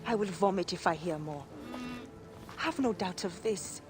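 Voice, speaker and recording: A woman's voice: on the low side, a little slow, music in the background, natural voice. A woman playing a desperate, fiercely determined expectant mother in a video game, intense and urgent. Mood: anguished defiance. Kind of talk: dramatic video game acting.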